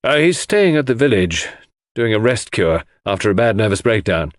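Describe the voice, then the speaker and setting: A man voicing a gloomy, curt English country gentleman in an audiobook, frank and grumbling in manner. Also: fairly low pitch, sing-song, moderate pace, no room sound, clean studio sound. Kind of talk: audiobook character dialogue.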